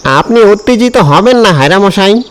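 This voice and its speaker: A woman voicing a calm, authoritative tantric healer in a cartoon, measured and reassuring. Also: medium pitch, natural voice.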